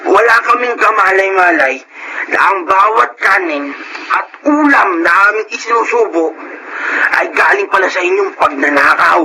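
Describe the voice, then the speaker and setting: An actor playing a bitter, defiant young son in a Filipino radio drama, with a Filipino accent. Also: male voice, sits high, animated, normal speed, sharp tone, full character voice. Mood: accusing. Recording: noisy radio drama audio.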